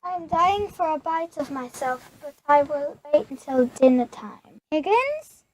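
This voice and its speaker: A woman's voice: sits high, lively intonation, a little slow, rough recording. A woman playing a gracious, theatrical vampire countess, the lady of the house, in an amateur radio play with slight background noise.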